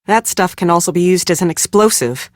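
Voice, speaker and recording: An actress playing a brisk, sharp-tongued, exasperated woman on a film crew in an adventure game, speaking with sarcastic emphasis. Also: fairly low pitch, lively intonation, no echo, studio quality, close to an everyday voice. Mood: brisk, bossy. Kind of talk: scripted game character dialogue.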